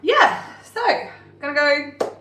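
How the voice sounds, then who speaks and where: A woman, chatting casually to camera in a lifestyle vlog, with lots of fillers and little laughs, and a slightly croaky voice from a cold. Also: high voice, expressive, slow pace, sharp tone, a little hiss or hum. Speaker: vlogger.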